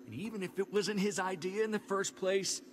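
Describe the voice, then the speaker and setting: An actor playing a bitter, vengeful American survivor in a video game, blunt, cynical and rough-talking. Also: male voice, high-pitched, brisk, slight background noise, natural voice. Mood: outraged. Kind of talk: dramatic video game voice acting.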